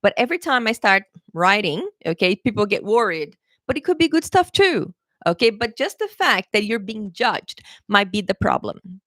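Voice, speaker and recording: A woman English teacher talks through an exam-prep lesson in a relaxed, chatty way, laughing often and checking in with her viewers. Her voice sits at a middle pitch and is recorded close and dry, with no room sound. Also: normal speed, dry recording. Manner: chatty and encouraging, keeps checking in with "okay?"; laughs often, playful pet phrases.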